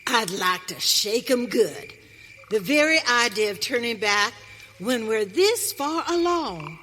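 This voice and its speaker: A woman's voice: a little high, expressive, pushed and bright, heavily acted. A woman playing a feisty pioneer wife in a western radio play, with an American accent and folksy, emphatic frontier speech. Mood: indignant. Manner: folksy frontier dialect, emphatic, drawn-out delivery. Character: Maggie, feisty pioneer wife on a wagon train. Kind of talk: live western radio theater acting. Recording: hall reverb, faint noise.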